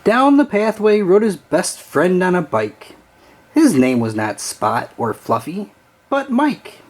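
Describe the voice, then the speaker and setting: A man reading a children's storybook aloud with an expressive, sing-song storytelling delivery. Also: high-pitched, slow pace. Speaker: storybook reader.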